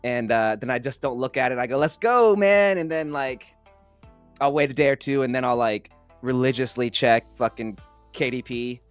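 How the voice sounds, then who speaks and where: A man's voice: medium pitch, moderate pace, clean tone, soft background music. Casual joking male podcast co-host. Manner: loose, swearing, joking. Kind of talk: casual banter. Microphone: studio mic.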